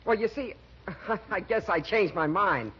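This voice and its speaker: An actor playing a bashful, naive young soldier with a hesitant, boyish gee-whiz manner in 1940s radio comedy. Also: male voice, high-pitched, a little slow, slightly rough. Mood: sheepish. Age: young adult. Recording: muffled 1940s radio broadcast.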